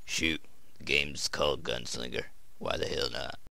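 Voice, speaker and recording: Gameplay commentator, male, cavernously deep and gritty, muttering to himself, slow delivery. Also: steady tone, pushed and bright, in a whisper. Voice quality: gravelly, very deep. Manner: mutters to himself while playing, sometimes reads aloud. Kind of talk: casual let's play commentary. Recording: game music behind. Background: game music.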